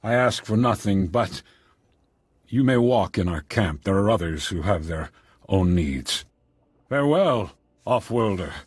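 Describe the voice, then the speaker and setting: Solemn, husky alien chief. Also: male voice, on the low side, expressive, no echo. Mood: stately. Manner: formal, measured, ceremonious. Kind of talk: video game dialogue.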